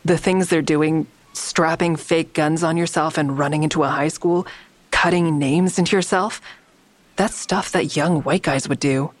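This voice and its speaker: A persistent amateur sleuth with a notably low voice, in a dry close recording. She is wry and matter-of-fact as she presses her case in naturalistic audio drama dialogue. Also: steady tone, measured pace, no room sound. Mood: persuasive, earnest. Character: wry, persistent female amateur investigator bargaining with police.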